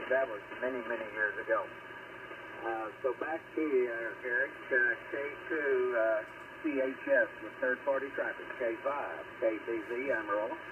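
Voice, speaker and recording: A man talking casually as an amateur radio operator, with a halting delivery full of pauses, heard over ham radio. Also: medium pitch. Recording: noisy, narrow-band radio transmission, distant.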